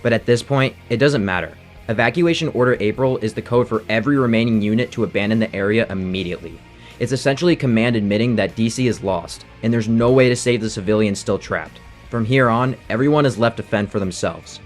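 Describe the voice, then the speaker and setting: Guy doing game analysis voiceover, talks quick, bit of music behind him. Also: fairly low pitch. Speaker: video essay narrator. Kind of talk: scripted analytical narration over game audio.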